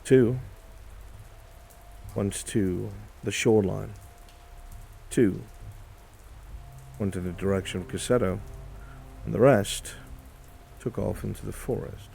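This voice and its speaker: A man playing a shrewd, curious conspirator in a dark fantasy roleplay, with formal, old-fashioned phrasing. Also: on the low side, slow pace, creaky, pauses often, slight background noise. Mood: intrigued.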